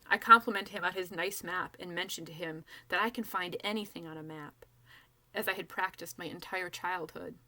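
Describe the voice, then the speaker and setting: A woman's voice: lowish voice, normal speed. A woman reading a first-person novel aloud as an author, narrating expressively and acting out the dialogue. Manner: animated, acts out dialogue.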